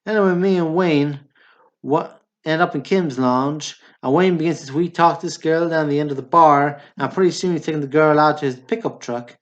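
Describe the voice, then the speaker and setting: A man's voice: a little high, normal speed, close to an everyday voice. An actor playing an easygoing small-town drinker who rambles matter-of-factly through his stories, in an amateur radio play. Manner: rambling, matter-of-fact storytelling. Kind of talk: amateur radio drama acting. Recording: dull lo-fi radio drama recording.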